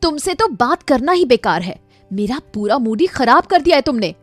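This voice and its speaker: A woman's voice: high-pitched, very animated, normal speed, no echo, faint music behind. A woman voicing a vain young housewife who craves luxury, whiny and dramatic, in a storybook tale. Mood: sulky. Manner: petulant, dramatic.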